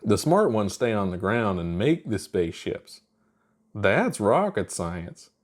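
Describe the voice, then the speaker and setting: A man's voice: fairly low pitch, big pitch swings, slow pace, heavily acted. A man voicing a mild, earnest young alien astronaut in a plain-spoken, unbothered way for a story. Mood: self-deprecating.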